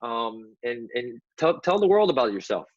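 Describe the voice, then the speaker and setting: A man's voice: medium pitch, very animated, normal speed. A man hosting a live interview, joking and self-deprecating. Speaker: host. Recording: dull lo-fi sound.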